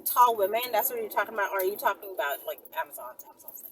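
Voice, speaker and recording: A woman's voice: medium pitch, very fast. A woman hosting a casual question-and-answer session, speaking in a blunt, sassy way. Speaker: host answering viewer questions. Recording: faint background noise and room ambience.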